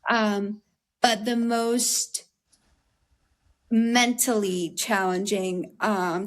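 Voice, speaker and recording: A young American woman, a guest in an interview, speaking candidly in an earnest, conversational way with a slightly raspy voice and many "like"s and "um"s. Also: mid-range voice. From United States. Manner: earnest, heavy use of "like" and "um".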